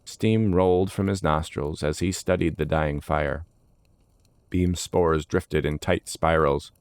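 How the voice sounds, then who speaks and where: A man narrating a scripted fantasy story in a solemn, dramatic, measured manner. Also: rumbling low, steady tone, smooth, no echo.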